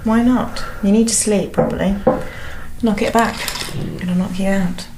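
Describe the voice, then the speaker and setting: Actress as a guarded, coolly evasive wife. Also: female voice, fairly even intonation, moderate pace, heavy background noise, close to an everyday voice. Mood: evasive, coaxing. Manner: terse, low-key, evasive. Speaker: actress in an audio drama. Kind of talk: naturalistic radio thriller acting.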